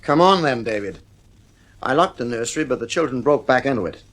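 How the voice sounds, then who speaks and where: Guy doing anxious defensive dad in old 50s radio drama, earnest, pitch jumps around a lot. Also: expressive, a little fast, fairly bright tone, lightly acted. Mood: urgent, uneasy. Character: worried, defensive father, anxious about his children. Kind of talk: 1950s radio drama acting.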